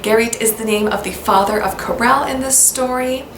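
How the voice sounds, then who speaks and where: A woman's voice: on the high side, moderate pace. A woman with an American accent, talking casually to camera about baby names, upbeat and gushing, sometimes spelling words out letter by letter. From United States. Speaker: baby-name vlogger. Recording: echoey room with background noise.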